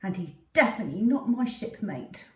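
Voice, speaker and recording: A woman's voice: mid-range voice, very animated, fairly quick, a little mellow, pitched above the performer's own voice, close to an everyday voice. A woman voicing a cross, jealous little pirate dog in a storybook, with emphatic delivery. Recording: echoey room, distant from the mic.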